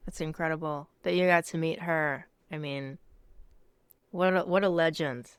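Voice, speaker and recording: A woman's voice: sits low, fairly even intonation, normal speed, clear, resonant tone, dry recording. A woman hosting a podcast, interviewing in a casual, chatty style. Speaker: podcast host.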